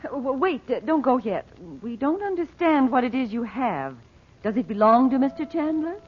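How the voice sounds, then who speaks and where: A woman's voice: high voice, sing-song, normal speed, husky, exaggerated voice. An actress playing a genteel, anxious mother in a 1940s radio drama, polite and a little hesitant. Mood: anxious, probing. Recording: muffled, faintly noisy old radio transcription.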